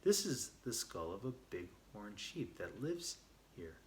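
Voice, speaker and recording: A man's voice: lowish voice, sing-song, unhurried, slight background noise. A man voicing a confident, knowing male desert guide in a children's storybook read aloud. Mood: explaining.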